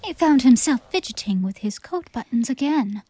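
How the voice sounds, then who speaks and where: A woman reading scripted story narration for a theatrical audiobook in an expressive, measured manner. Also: high-pitched, breathy edge, no room sound.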